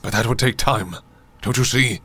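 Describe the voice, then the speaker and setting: An actor playing an obsessive, pleading old mine owner in a radio drama, insistent and repeating himself in agitation. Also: male voice, mid-range voice, normal speed, sharp tone, husky. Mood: urgent, pleading. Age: older.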